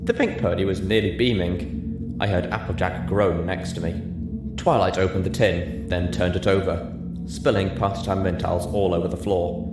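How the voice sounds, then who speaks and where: A man's voice: low-pitched, fairly even intonation, normal speed. A man reading a story aloud as dramatic audiobook narration. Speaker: story reader. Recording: roomy echo with music behind. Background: music.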